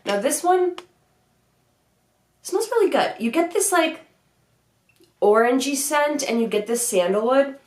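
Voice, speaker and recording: A woman's voice: high-pitched, expressive, unhurried, long pauses, reverberant. A young woman in her twenties with a stuffy cold, chatting upbeat in a casual product review vlog, filmed seated in her bedroom. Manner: chatty, upbeat, many "like" fillers. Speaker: beauty vlogger. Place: bedroom vanity room, indoors.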